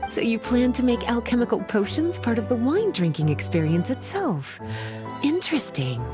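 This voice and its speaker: A woman's voice: on the high side, big pitch swings, normal speed, music in the background. An actress playing a poised, playful, doting fantasy lady in a video game, elegant and coddling in manner. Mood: curious.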